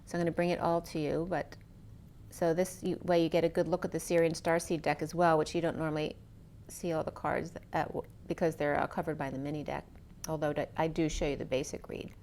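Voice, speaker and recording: A middle-aged woman with a lowish voice reads tarot cards for her viewers. She talks in a slow, dreamy, free-associating way. Her voice sounds a little worn, as if she is starting to lose it. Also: little pitch movement, darkish tone, a little hiss or hum. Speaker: tarot reader. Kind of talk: spiritual tarot reading monologue.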